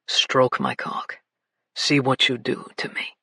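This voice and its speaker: A woman voicing a commanding, possessive grown man who speaks as a low, coaxing murmur. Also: flat delivery, slow, creaky, sharp tone, husky, dry recording, clean studio sound, heavily acted.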